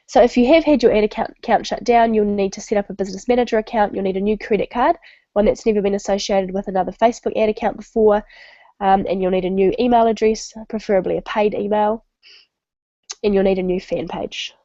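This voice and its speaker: A woman's voice: mid-range voice, fairly even intonation, fast, warm tone, dry recording. A woman with a stuffy, nasal voice from a cold, giving a casual online marketing training on a call with many ums and restarts. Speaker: webinar presenter. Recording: dull lo-fi web call audio.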